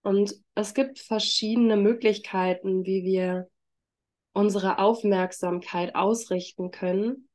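A woman with a congested voice from a heavy cold, speaking gently and informally as a yoga teacher leading a workshop. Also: medium pitch, steady tone, slow. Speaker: trauma-sensitive yoga teacher and facilitator. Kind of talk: workshop introduction and guided mindfulness.

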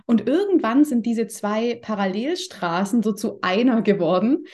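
Mellow-voiced woman introducing herself informally. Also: on the high side, animated, normal speed. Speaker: yoga studio owner and body-oriented coach. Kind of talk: informal workshop self-introduction.

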